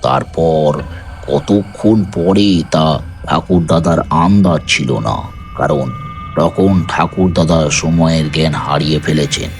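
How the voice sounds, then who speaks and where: Very deep, slow male storyteller. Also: soft background music. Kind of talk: scripted dramatic narration.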